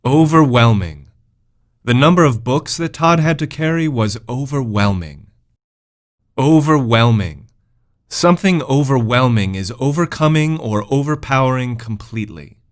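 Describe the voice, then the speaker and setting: A man's voice: medium pitch, expressive, unhurried. Man reading vocabulary lessons aloud. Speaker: vocabulary narrator. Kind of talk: scripted educational read-aloud.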